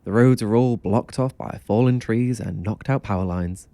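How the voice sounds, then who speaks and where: Actor as a young male motel clerk, lowish voice, offhand, kindly, audio drama, dry close recording. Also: normal speed, warm tone, dry recording, lightly acted.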